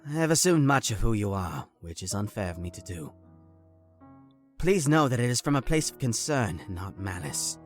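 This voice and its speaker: A man's voice: fairly low pitch, sing-song, moderate pace, faint music behind. A man playing a stern, guarded monastery official in a comic dub, speaking earnestly in formal, old-fashioned phrases. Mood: gentle, contrite. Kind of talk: dramatic voice acting for a comic dub.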